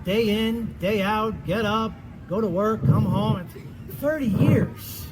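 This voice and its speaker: A man's voice: high voice, slow pace, very noisy. A man playing an anxious, cynical middle-aged husband in a comedic stage play, stammering and self-deprecating. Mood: anxious, venting. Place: theatre stage at a festival.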